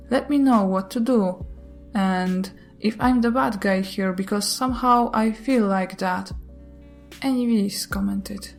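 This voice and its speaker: A woman whose first language is not English, reading online posts and comments aloud in a steady way, with brief chatty asides. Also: mid-range voice. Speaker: YouTuber reading Reddit posts.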